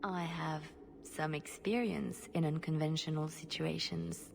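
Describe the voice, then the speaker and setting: A secret agent of a holy order in a fantasy game. Her voice sits fairly low for a woman, composed and grave. She speaks with deliberate care over faint background noise. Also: fairly even intonation, creaky, darkish tone. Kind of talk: fantasy video game acting.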